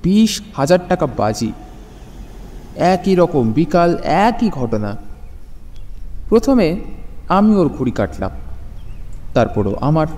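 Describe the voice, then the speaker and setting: Slow, echoing ghost played by a man. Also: high voice, exaggerated voice. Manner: slow, measured, calm, with ominous pauses. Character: calm, eerie male ghost avenging his own murder. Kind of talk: dramatised audio horror story. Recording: long hall-like reverb.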